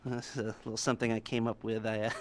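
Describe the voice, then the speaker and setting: A man playing a nerdy, sardonic heist mastermind in a video game cutscene, talking in a halting, rambling way with nervous chuckles. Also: fairly low pitch, flat delivery, a little hiss or hum, close to an everyday voice. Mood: sardonic, smug. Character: nerdy, twitchy, sardonic male heist mastermind briefing crew.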